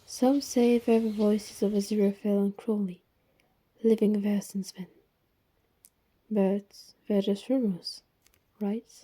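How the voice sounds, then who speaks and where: A woman reading a scripted story in a soft, breathy, hushed voice with gentle pauses. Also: mid-range voice, level tone. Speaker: narrator.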